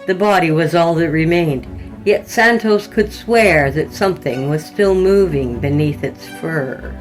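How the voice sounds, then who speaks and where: A woman hosting a fiction podcast and reading a scripted horror story in a deliberate, descriptive way. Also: sits low, faint music behind, rough recording. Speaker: podcast host and story narrator.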